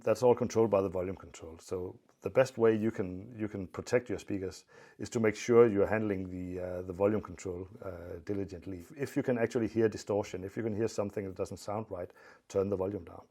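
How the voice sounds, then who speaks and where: A man giving an informal technical explanation as an expert presenter, in a measured, matter-of-fact way. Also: on the low side, mellow.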